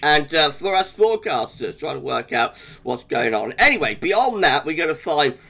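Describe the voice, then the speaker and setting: A man with a British accent, croaky today, giving a chatty weather forecast over charts with frequent little laughs. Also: fairly high pitch, very animated, moderate pace, pushed and bright. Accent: British English. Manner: chatty, laughs often, restarts.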